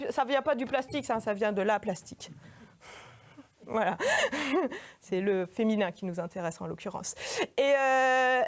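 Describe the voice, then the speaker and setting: High-voiced woman giving a playful performance lecture. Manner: informal, hesitant, self-deprecating. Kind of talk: humorous autobiographical stage monologue. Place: in front of a live audience.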